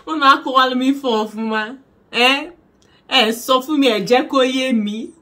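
A young woman with a Nigerian accent, telling a story to camera in a small bedroom in an animated, dramatic way. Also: sits high, slow. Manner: animated, dramatic, laughing, mixing in pidgin. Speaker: vlogger. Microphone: camera in front at desk distance.

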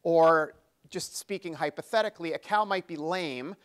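A man's voice: fairly high pitch, moderate pace, clear, resonant tone. A middle-aged man giving a technical seminar lecture with a handheld mic in an exhibition seminar room, explaining step by step with frequent fillers and restarts. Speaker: conference speaker. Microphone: handheld microphone.

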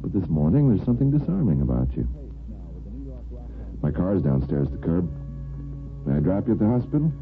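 Very deep-voiced suave suitor. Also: male voice, very animated, fairly quick, long pauses. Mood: smooth flirting. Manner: suave, teasing, courtly. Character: smooth, persistent male neighbor courting a nurse. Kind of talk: vintage radio soap opera acting. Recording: lo-fi vintage radio, faint music.

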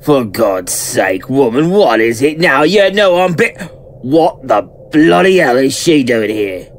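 A man's voice: mid-range voice, sing-song, a little slow. A man playing the abusive, domineering ghost of a dead boyfriend in an audio drama, irritable and bellowing. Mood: irritated.

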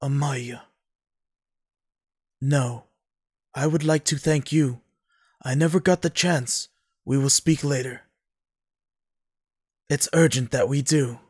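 A man's voice: medium pitch, slow pace, long pauses, darkish tone, breathy edge, no room sound, studio quality. A man voicing a kindly, earnest noble uncle in an anime audio drama. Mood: earnest, fatherly.

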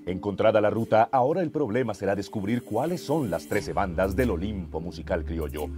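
A man's voice: fairly low pitch, lightly acted. A Colombian man playing a pompous, ominous narrator of a detective radio serial, close on a studio mic. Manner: pompous, suspenseful. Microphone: close studio broadcast mic. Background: music.

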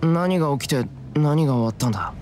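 A man's voice: a little high, expressive, fast talker, a little mellow, faint music behind, natural voice. Man as a casual, blunt anime schoolboy. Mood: casual, puzzled. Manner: casual, plainspoken. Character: easygoing, blunt teenage schoolboy, slightly puzzled. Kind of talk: Japanese visual novel acting. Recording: faint street ambience behind voice.

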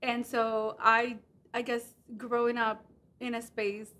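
High-voiced woman from Puerto Rico. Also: little pitch movement, slow, bright, forceful tone. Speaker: interview guest, freelance animator and designer. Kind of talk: casual conversational chat. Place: indoor studio set at a table.

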